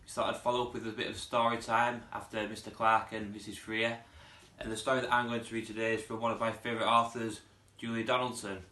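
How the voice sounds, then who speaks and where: A man's voice: fairly low pitch, fast, reverberant. A man, a primary school teacher reading a children's storybook aloud expressively. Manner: expressive, putting on character voices.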